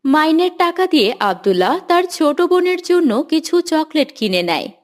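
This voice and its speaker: A woman's voice: high voice, sing-song, fairly quick. A woman narrates a scripted children's tale. She delivers the linking narration between scenes as a storyteller.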